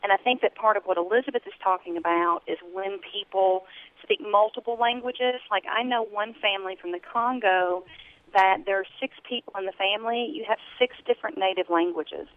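A woman's voice: medium pitch, a little fast. A woman from West Kentucky with a Southern American accent, a guest on a radio talk show who explains her trade in a folksy, confident way. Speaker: translation company owner, guest. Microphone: telephone. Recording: tinny phone line.

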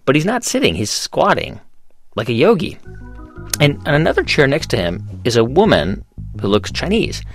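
Lively male radio host. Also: medium pitch, moderate pace, faint music behind. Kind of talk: scripted narration and interview questions.

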